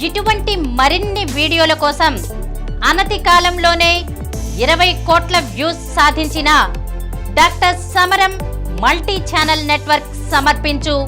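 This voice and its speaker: A woman reading a scripted promotional announcement as a channel announcer. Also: sits high.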